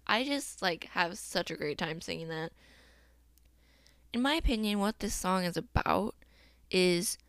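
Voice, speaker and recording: Young woman host, casual and upbeat, laughs easily; smooth voice, dry close sound. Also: on the low side, no echo. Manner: chatty, upbeat, laughs often, uses fillers like "um" and "like". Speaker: podcast host. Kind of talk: casual solo podcast chat about a song.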